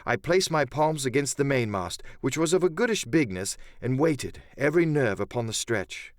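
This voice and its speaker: A man's voice: mid-range voice, lively intonation, normal speed, no room sound, studio quality. A man narrating an adventure audiobook in the first person, with theatrical, literary storytelling.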